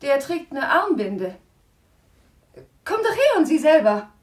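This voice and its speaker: An actress playing a considerate but bossy young wife in a radio play, breathy and polite yet insistent. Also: female voice, high-pitched, big pitch swings, slow pace, exaggerated voice. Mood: hushed, insistent. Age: young adult. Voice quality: breathy, often hushed. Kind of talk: German radio play acting.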